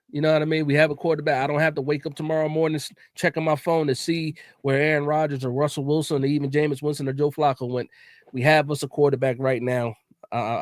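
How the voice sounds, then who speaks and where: A man hosts a casual sports talk livestream in a relaxed, chatty way, often repeating himself and dropping "man" into his sentences. His voice sits a bit high. The sound is dry and close, with little room around it. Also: no room sound. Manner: laid-back, repeats words, says "man" often. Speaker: podcast host.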